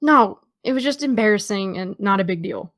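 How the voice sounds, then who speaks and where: A woman's voice: mid-range voice, animated, normal speed, no echo, studio quality, lightly acted. A young American woman playing a guarded, dryly witty teenage girl in understated, naturalistic audio drama dialogue. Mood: defensive.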